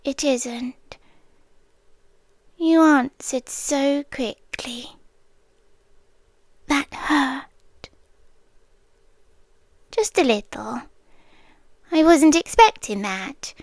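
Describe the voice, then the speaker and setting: A British woman voicing a possessive female guardian angel in an ASMR roleplay, half-whispering softly and coaxingly into a close microphone. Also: high voice, dry recording, exaggerated voice. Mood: wounded, possessive. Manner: soft, intimate half-whispering; calm, coaxing and slow.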